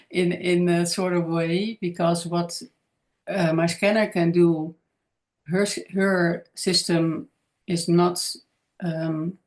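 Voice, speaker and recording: Slow, low-voiced Dutch woman. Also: darkish tone. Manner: hesitant, searching for words, frequent fillers. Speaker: content creator. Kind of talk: casual spiritual monologue to camera.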